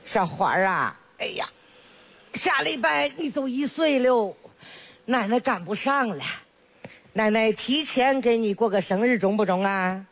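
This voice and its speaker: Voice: mid-range voice, lively intonation, a little slow, fairly bright tone. A performer playing a chatty, doting old village grandmother with a Northeastern Chinese accent in a solo comedy sketch, full of exclamations and jokes. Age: older. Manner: sing-song, full of exclamations, teasing and jokey.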